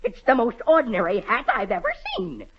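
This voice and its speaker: A woman's voice: a little high, very animated, fairly quick, vocal fry, a little hiss or hum. A woman playing a haughty, fussy male royal hat maker, pompous and sputtering, in 1940s radio acting style. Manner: pompous, self-important, sputtering. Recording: dull vintage 1940 radio recording.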